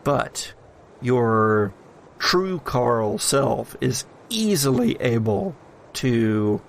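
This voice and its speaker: A man working as game master, narrating a tabletop horror game in a measured, dramatic way with deliberate pauses. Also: mid-range voice, very animated, vocal fry, slight background noise.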